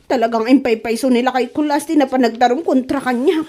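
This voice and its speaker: A woman's voice: high voice, fast, dark tone, close to an everyday voice. An actress playing a whiny, gossipy wife who pleads her way out of trouble in a comedy radio drama. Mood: pleading, subdued.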